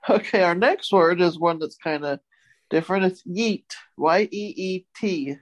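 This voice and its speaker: A woman's voice: lowish voice, unhurried. A woman co-hosting a casual talk segment, chatting and reading out word definitions. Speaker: co-host leading a word segment. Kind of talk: casual chat, reading out dictionary entries.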